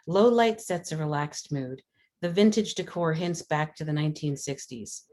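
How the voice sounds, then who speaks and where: A woman's voice: low voice, normal speed, a little mellow. A woman reading scripted stage directions aloud at a script table read. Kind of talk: scripted narration of screenplay action.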